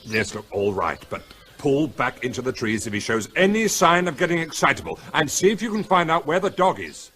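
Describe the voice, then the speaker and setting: An actor playing a calm police officer in charge, speaking with dry, matter-of-fact authority. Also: male voice, a little high, fairly quick, a little hiss or hum.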